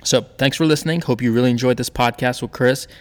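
A man's voice: medium pitch, fast talker, big-room sound. A man hosting a podcast, reading sponsor spots and making announcements in an upbeat, chatty way. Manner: upbeat, chatty promo delivery. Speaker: podcast host. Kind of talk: casual podcast ad reads and announcements.

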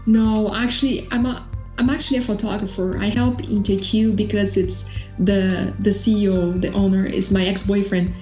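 A woman's voice: medium pitch, level tone, moderate pace, darkish tone. A woman with a Brazilian accent talks casually and a little hesitantly, with fillers. Her voice sounds roomy and echoey, with light music behind her. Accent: Brazilian Portuguese. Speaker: interviewee, customer success helper. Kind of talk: casual interview.

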